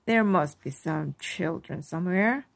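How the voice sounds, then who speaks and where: A woman voicing a gentle, encouraging old grandfather full of wonder in a children's storybook. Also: lowish voice, animated, a little slow, vocal fry, exaggerated voice. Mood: reassuring. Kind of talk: children's storybook read-aloud.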